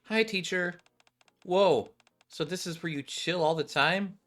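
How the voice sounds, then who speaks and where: A man's voice: high-pitched, unhurried, fairly bright tone, voice pushed up. A man voicing a shy, earnest schoolgirl in a video game story, speaking in a hesitant, questioning way. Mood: curious.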